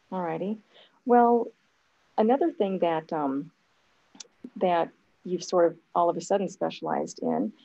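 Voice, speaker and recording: A woman's voice: fairly low pitch, creaky, dull audio. A woman in her fifties hosting a talk over a video call from home, encouraging her guest. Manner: encouraging, many fillers. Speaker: host. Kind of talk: casual video call chat. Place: home room.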